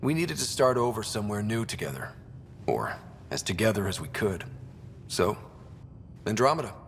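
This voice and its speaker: A man's voice: big pitch swings, moderate pace. An actor playing an earnest, curious young male leader of explorers in a video game. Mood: reflective. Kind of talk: video game character dialogue. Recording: long reverb, faint rumbling background noise.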